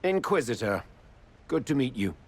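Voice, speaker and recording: A man's voice: mid-range voice, very animated, moderate pace, breathy edge. A man playing a stern but courteous noble envoy in a video game, formal and diplomatic. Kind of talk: dramatic video game dialogue.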